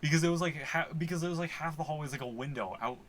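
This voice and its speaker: A young man co-hosting a podcast, easygoing and quick to laugh. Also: on the high side, a bit bright, slight background noise. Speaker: podcast co-host. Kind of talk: casual chat.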